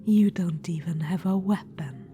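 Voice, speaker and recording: A woman voicing an ancient undead witch-queen in an ASMR roleplay. She whispers softly, coaxing and a little condescending, and calls the listener darling. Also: fairly low pitch, unhurried, soft background music, natural voice. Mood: sly.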